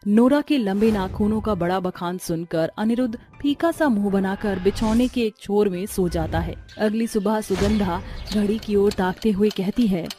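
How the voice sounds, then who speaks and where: A woman's voice: mid-range voice, moderate pace, few pauses, warm tone. A woman narrator reading a scripted cartoon story. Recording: music under the voice.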